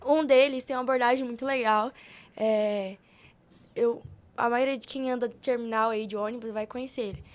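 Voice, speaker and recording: A woman's voice: on the high side, fairly even intonation, normal speed. A young Brazilian woman telling personal stories in a casual, informal podcast conversation, often acting out bits of quoted dialogue. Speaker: podcast guest.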